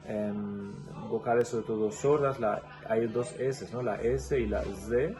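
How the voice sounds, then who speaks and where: A man's voice: lowish voice, fairly even intonation, music in the background, rough recording. Catalan man explaining at length. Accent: Catalan-influenced Spanish. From Catalonia, Spain. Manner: thoughtful, explains at length with pauses and restarts. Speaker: guest. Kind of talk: casual interview conversation.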